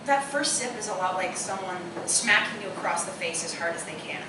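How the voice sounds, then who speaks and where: A woman's voice: lowish voice, lively intonation, fairly quick, natural voice. A woman playing a witty, opinionated single woman who loves bourbon, in live radio-play dialogue. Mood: savoring, explaining. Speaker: stage actor. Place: live theatre performance space. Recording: echoey, noisy live recording from far off.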